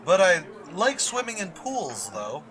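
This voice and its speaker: A man's voice: high-pitched, wildly swooping pitch, measured pace, fairly bright tone, heavily acted. A man playing a shy, oblivious biology teacher in an old-time radio comedy, speaking in a mild, hesitant, earnest way.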